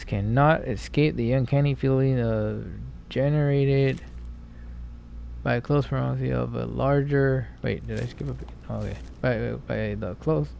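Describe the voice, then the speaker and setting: A man livestreaming a video game in a casual, low-key way, muttering to himself and reading text aloud. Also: fairly even intonation, a little slow, creaky, darkish tone. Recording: dull, slightly noisy stream audio.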